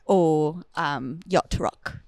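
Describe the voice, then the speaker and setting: A woman from northern New Jersey, guesting on a comedy podcast, deadpan and playing along with absurd bits. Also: low voice, drawn-out words. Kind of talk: casual comedic chat.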